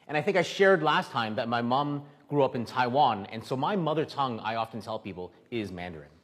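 A man from Canada preaching in a church hall, pausing phrase by phrase for an interpreter. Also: medium pitch, lively intonation, fairly bright tone. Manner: halting, stops often for interpretation. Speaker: guest preacher. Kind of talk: sermon with live interpreter. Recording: echoey hall.

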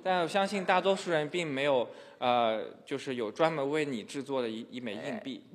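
A man's voice: mid-range voice, brisk. A man interpreting a sermon into another language in a church hall, hesitant and full of fillers. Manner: hesitant, many filler sounds. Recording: echoey hall.

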